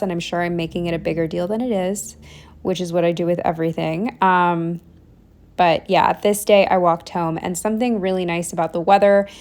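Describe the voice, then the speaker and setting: A young woman giving a chatty, rambling voiceover late at night, tired, with self-deprecating asides. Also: mid-range voice. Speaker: planner vlogger. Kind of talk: casual voiceover narration.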